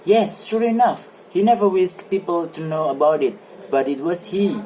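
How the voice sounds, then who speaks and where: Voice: medium pitch, expressive, normal speed, dark tone, a little hiss or hum, lightly acted, rough recording. An amateur reader playing a talkative, self-satisfied married man in a stage play, with eager, formal phrasing. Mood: eager, assuring. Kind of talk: amateur dramatic play reading.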